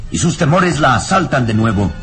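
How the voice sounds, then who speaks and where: A man's voice: mid-range voice, animated, fairly quick, fairly bright tone, faint music behind. A man narrating an old radio serial in a solemn, literary, dramatic storytelling style.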